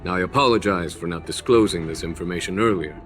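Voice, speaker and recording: A man playing a calm, courteous general who speaks formally and deliberately in an anime game, over music. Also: low-pitched, natural voice. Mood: apologetic, solemn. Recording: music behind the voice.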